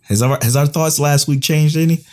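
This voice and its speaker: A man co-hosting a casual podcast, laid-back and slangy, his voice going hoarse. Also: fairly high pitch, a little fast, darkish tone. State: losing his voice. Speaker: podcast co-host.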